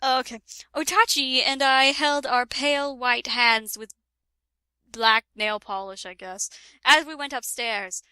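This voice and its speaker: Young woman, comedic reader, mock-dramatic, unhurried, bright and high. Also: clear, resonant tone, dry recording. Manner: giggly, breaks into laughter mid-sentence; mock-dramatic, interrupts herself with asides. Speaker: reader of a parody fanfic.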